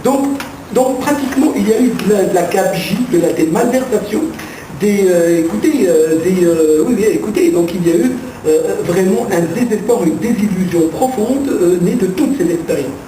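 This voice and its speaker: Man, academic panelist, measured lecturing tone, rhetorical questions, echoey far-off sound. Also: high-pitched, mellow. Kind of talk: explanatory lecture-like discussion. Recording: echoey room, distant mic, background noise.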